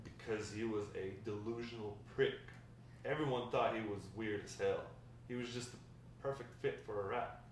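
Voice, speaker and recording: A man's voice: fairly low pitch, steady tone, normal speed, lightly acted. Wry old grandfather recounting shady past, played by a man, table read, heard from afar in a roomy, faintly noisy space. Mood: matter-of-fact. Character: wry old grandfather spinning tales of his drug-dealing past. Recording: distant, very echoey room, faint noise.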